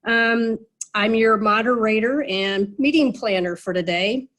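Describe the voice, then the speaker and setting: A woman with a fairly high voice runs an online meeting, nasal from a cold. She talks in a chatty way, with plenty of fillers and restarts, over a video call. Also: measured pace, a bit bright. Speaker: meeting moderator.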